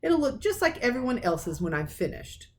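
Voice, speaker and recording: A woman's voice: on the high side, big pitch swings, moderate pace, voice pushed up. Woman reading as curt, snappish aunt. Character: sharp, tight-lipped, snappish middle-aged aunt. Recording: clearly noisy recording with clicks.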